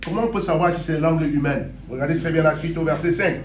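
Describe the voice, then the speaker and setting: A man preaching in a church hall, lively and animated, repeating his phrases and calling on the congregation. His voice sits high, and the sound rings around a reverberant room. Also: fast, a little hiss or hum. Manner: animated, repeats phrases, mock gibberish for effect. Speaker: interpreter and preacher. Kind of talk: sermon with call and response. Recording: echoey hall.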